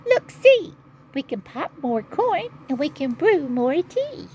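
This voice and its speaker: Squeaky high pitch, woman acting a small fretful storybook mouse, short exclamations, background noise. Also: big pitch swings, takes it slowly, a little mellow, exaggerated voice. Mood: soothing. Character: tiny squeaky anxious, kindly mouse in storybook.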